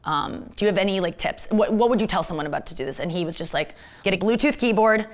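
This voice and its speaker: A woman comedian with a husky, gravelly voice and strained vocal cords, chatting fast and candidly in an interview. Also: medium pitch, expressive. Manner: rapid, candid, self-deprecating talk with many fillers. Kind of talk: casual fast-talking interview chat.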